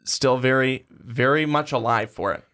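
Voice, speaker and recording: A young man co-hosting a sports podcast in a studio, talking in a measured way with frequent restarts. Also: medium pitch, fairly bright tone. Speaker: podcast co-host. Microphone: studio microphone.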